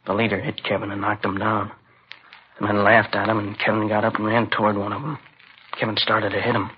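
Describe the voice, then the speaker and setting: Flat-toned, shaken young man played by an actor. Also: lowish voice, lightly acted. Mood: shaken, recounting. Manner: halting, subdued, haunted. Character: shaken, exhausted young male student, crime witness. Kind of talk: 1950s radio drama acting. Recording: dull old radio recording, faint noise.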